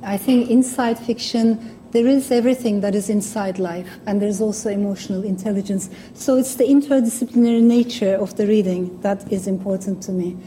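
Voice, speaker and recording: A woman's voice: medium pitch, a little fast, few pauses, dark tone, a little hiss or hum, low-quality recording. A woman from Turkey with a Turkish accent, speaking English as a second language in a thoughtful, earnest public talk. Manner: thoughtful, earnest, with small restarts. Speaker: guest speaker.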